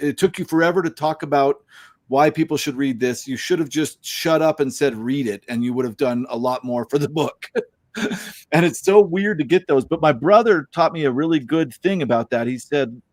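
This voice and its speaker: A man's voice: a little high, normal speed, dry recording. Guy hosting a podcast, rambly and full of ums, dry close mic sound. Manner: rambling, many fillers and restarts, chuckles. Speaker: podcast host. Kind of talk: casual chat.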